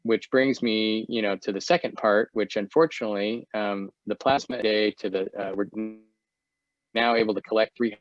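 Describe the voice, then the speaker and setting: A middle-aged American man giving a slide presentation over a video call, speaking in a halting, hesitant way. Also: on the low side, steady tone. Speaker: guest speaker, volunteer presenter. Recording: muffled, dull video-call audio.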